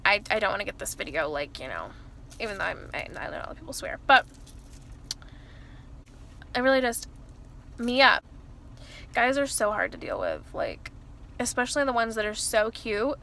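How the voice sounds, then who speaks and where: A woman in her early twenties with a loud voice, talking casually to camera from inside her car and rambling with lots of fillers and restarts. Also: mid-range voice, huge pitch swings, moderate pace, vocal fry, pauses often, a little hiss or hum. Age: early 20s. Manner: rambling, self-interrupting, many fillers like "like" and "you know". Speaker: vlogger. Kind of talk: casual confessional chat to camera.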